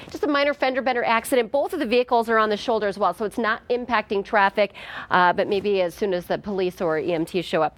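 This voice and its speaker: An American woman giving a live traffic report on morning television. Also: on the high side.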